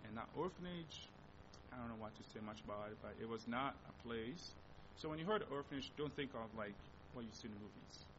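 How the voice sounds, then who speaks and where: A young man from the Democratic Republic of Congo with a Congolese accent, whose first language is Lingala, giving a missionary presentation to a church and searching for words with many restarts. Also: fairly quick. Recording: dull recording with steady low rumble.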